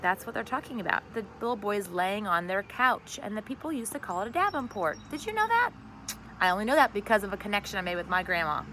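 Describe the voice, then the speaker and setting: A woman reading a children's story aloud as narrator, expressive and conversational. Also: medium pitch, fast, noisy background. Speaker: storybook reader.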